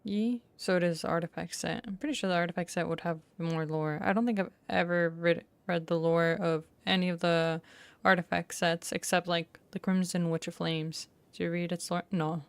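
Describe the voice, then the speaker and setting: A woman streaming a game with casual reaction commentary, musing aloud and correcting herself, in a creaky voice. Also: on the low side, steady tone, normal speed, dry recording.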